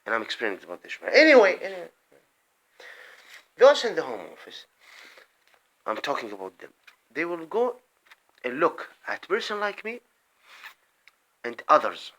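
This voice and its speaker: Animated man ranting in bursts. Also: fairly high pitch, moderate pace, long pauses, poor audio. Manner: rambling, emphatic, often breaks off and restarts; swings between loud outbursts and muttered asides. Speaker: vlogger. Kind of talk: impassioned political monologue.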